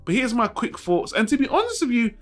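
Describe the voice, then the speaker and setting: A man's voice: extremely high pitch, sing-song, brisk, faint music behind. A man hosting a casual film review vlog, talking informally and frankly to his viewers with some mild swearing. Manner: informal, mildly profane, frank. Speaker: YouTube channel host.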